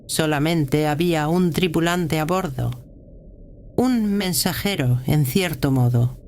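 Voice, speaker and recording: A woman's voice: low voice, slow pace, darkish tone. Woman acting grave, guarded prioress, noisy backdrop. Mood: cautioning. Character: prioress of a militant religious order, grave and guarded. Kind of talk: dramatized sci-fi audiobook dialogue.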